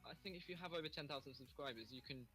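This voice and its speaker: A young man from the United Kingdom, a guest developer, talking in a relaxed, hesitant way with frequent ums. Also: fairly high pitch, fast talker, soft background music. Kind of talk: casual interview chat.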